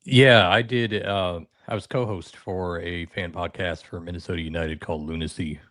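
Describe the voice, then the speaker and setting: A man with a deep radio voice, a podcast guest chatting easily about his soccer club. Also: steady tone, moderate pace, fairly bright tone, no room sound, clean studio sound. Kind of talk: casual podcast chat.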